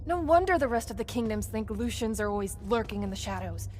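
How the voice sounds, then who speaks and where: An actress playing a feisty, sarcastic young female rebel in a video game, blunt and sharp-witted. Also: fairly high pitch, animated, fast talker, faint music behind, natural voice. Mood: mocking, challenging. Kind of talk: video game cutscene acting.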